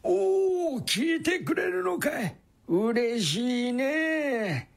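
An actor playing a kindly, chatty old distillery worker in a radio drama, with a husky, creaky voice and folksy old-fashioned speech. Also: male voice, very high-pitched, sing-song, drawn-out words, full character voice. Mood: proud, animated. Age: older. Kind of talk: Japanese radio drama acting.

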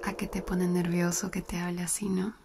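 A woman's voice: fairly low pitch, flat delivery, moderate pace, vocal fry, noisy background. A woman playing a giggly whispering flirt. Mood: flirty whisper. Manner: soft close whispering, giggly. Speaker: ASMR roleplay performer. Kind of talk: whispered ASMR roleplay.

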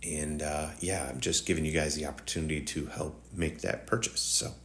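Low-voiced man, slightly nasal. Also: fairly even intonation, a little fast, fairly bright tone, a little hiss or hum. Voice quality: slightly nasal, congested morning voice. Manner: informal, rambling, addresses viewers directly. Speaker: host of woodworking tutorial channel. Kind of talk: casual tutorial voice-over.

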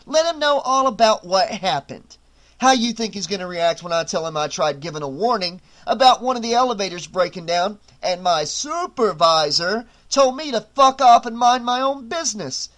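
A man doing a hot-headed young hotel waiter who refuses to back down. His voice rides high, and his replies come quick and heated. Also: expressive, a bit bright. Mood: defiant. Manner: heated, defiant comebacks.

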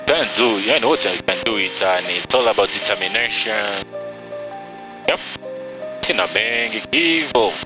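Male flight ops officer, easygoing, short words, low voice, music bed. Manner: relaxed, short phrases, mixes languages. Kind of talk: brief casual greeting. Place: small aircraft cockpit in flight.

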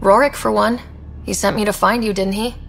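An actress playing a young, earnest, easygoing human explorer in a video game, speaking casually and directly. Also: female voice, mid-range voice, steady tone, brisk, gravelly, faint music behind, close to an everyday voice. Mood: earnest, reassuring.

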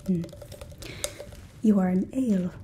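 A suspicious female guard from a fantasy roleplay, acted by a young woman, whispers slowly in a soft, mellow, breathy voice. She is sardonic and stern, and the close recording has some faint background noise. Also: medium pitch, lightly acted. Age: 20s. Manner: suspicious, sarcastic, unhurried. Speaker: ASMR roleplay performer.

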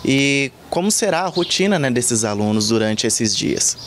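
A man's voice: mid-range voice, lively intonation, normal speed. A Brazilian man in his thirties reporting from outdoors and asking interview questions. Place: outdoors in a leafy yard. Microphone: handheld reporter microphone. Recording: faint outdoor background noise.

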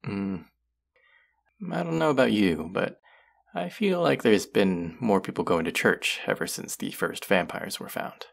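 A man's voice: on the low side, animated, unhurried, vocal fry, full character voice. A man voicing a friendly, teasing young male barista in an audio roleplay, chatty and playful toward a regular customer.

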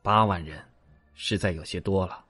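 A man playing a shrewd, courteous but indignant court strategist in an audio drama, speaking in formal, measured phrases. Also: deep voice, sharp tone, soft background music. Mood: measured, reluctant.

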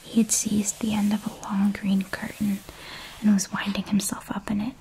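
A woman reading a children's story aloud in a soft, calm ASMR whisper. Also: mid-range voice, slight background noise, low-quality recording. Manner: slow, calm and hushed.

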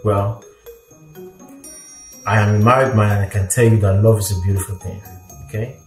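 An earnest, contented married man, played by a male actor in a film drama. He sounds distant and echoey, with a fairly low voice and clear music behind him. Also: fairly even intonation, normal speed, a little mellow, natural voice. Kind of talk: Nollywood film acting.

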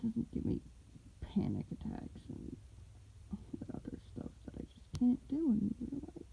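A woman's voice: on the high side, wildly swooping pitch, unhurried, vocal fry, a little hiss or hum, heavily acted, rough recording. A woman playing a sleepy, grumpy, innocent young streamer in an ASMR roleplay, mumbling and humming in pouty protest. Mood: sleepy, defensive. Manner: drowsy, pouty mumbling with grumbly hums. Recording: loud rustling of fabric close by. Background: rustling, ruffling noises.

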